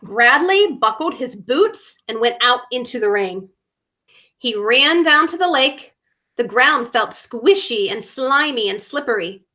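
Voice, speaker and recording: A woman reads a picture book to children in a high, lively voice. She takes her time and enunciates with dramatic emphasis. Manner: dramatic, deliberate enunciation with emphasized sound words. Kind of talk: expressive children's story reading.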